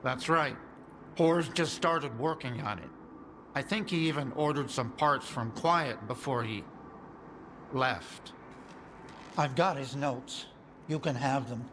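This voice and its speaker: An actor playing an anxious scientist who explains grave matters earnestly in a video game. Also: male voice, mid-range voice, takes it slowly, pauses often, noisy background, lightly acted. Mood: explaining, helpful. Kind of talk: video game cutscene acting.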